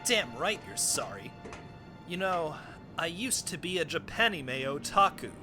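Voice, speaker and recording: Neurotic geek scientist for game parody , male actor: high voice, snide, bitter, complaining. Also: big pitch swings, measured pace, music in the background. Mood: geeky. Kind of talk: comedic video game parody dub.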